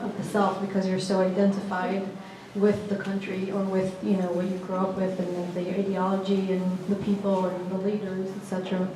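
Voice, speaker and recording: A woman's voice: mid-range voice, background noise. A woman acting as panel moderator, asking a long, rambling question and searching for words. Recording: distant mic in an echoey gallery with crowd ambience.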